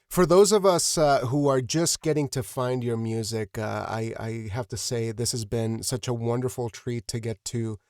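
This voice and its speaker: A man's voice: mid-range voice, darkish tone, dry recording, clean studio sound. A man hosting a casual interview podcast, speaking in an effusive, appreciative way with hesitant phrasing. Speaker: podcast host.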